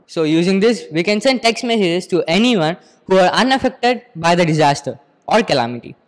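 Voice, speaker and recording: A teenage male student with an Indian accent gives a rehearsed, formal presentation. His voice is high for a male speaker. The room around him rings with long reverb. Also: expressive, a little fast. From Andhra Pradesh, India. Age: teens, about 15. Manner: formal, explanatory. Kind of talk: rehearsed school project presentation. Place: school computer lab, indoors. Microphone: handheld microphone.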